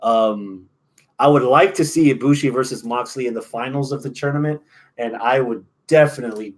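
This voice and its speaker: A man's voice: medium pitch, moderate pace. A man with an American accent hosting a wrestling podcast, giving opinionated, profane analysis in a casual chat.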